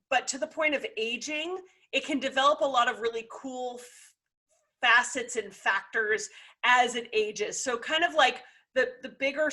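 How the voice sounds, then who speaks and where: A woman talks through a wine grape for viewers on a video call. Her voice sits a little high and sounds bright and clear-edged. She explains casually, with plenty of ums and small restarts. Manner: informal, many fillers. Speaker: wine presenter.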